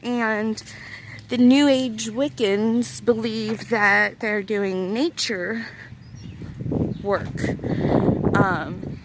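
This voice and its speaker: Slow-talking young-sounding woman. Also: a little high, background noise. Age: 30s. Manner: rambling, hesitant, many fillers. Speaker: vlogger. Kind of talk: casual chat to camera.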